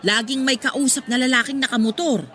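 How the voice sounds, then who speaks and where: A woman's voice: sits high, fairly even intonation, brisk, a bit bright. An actress playing a gossipy, meddlesome Filipino neighborhood mother in a radio drama, chatty and prying. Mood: gossiping. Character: gossipy, meddlesome older neighborhood mother, kindly but nosy. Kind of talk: Tagalog radio drama acting.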